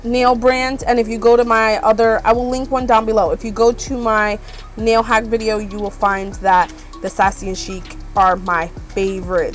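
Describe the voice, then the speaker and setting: A woman beauty vlogger with a stuffed-up, congested voice, chatting casually and rambling with lots of ums while she shows her purchases. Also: mid-range voice. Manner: chatty, rambling, many ums and "you guys". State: stuffed up from allergies. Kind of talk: casual haul chat. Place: small RV room.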